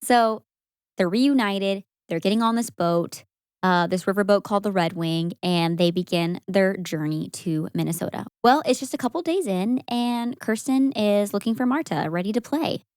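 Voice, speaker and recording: A young woman co-hosting a podcast, telling a story in a casual, animated way with frequent laughs. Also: mid-range voice, moderate pace, clean tone, dry recording, clean studio sound. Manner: animated, laughs often, acts out quoted voices.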